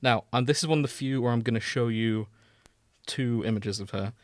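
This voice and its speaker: A man's voice: mid-range voice, fairly quick, no room sound. A British man explaining things in a casual, wry, informal podcast chat, sometimes sweary. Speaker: podcast host explaining a video game.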